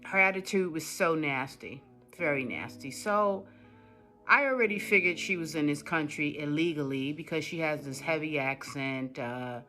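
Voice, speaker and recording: A woman speaking in a casual, indignant monologue. Her voice is bright and forceful, and she drives each point home by repeating it. Faint guitar music plays underneath. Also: low-pitched, animated, moderate pace. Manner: indignant, repetitive, emphatic. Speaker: customer telling her story.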